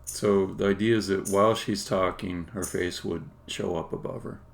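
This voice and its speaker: A man, a game developer, casually explaining his work on a live stream in a halting, think-aloud way. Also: sits low, fairly even intonation. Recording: clear background noise.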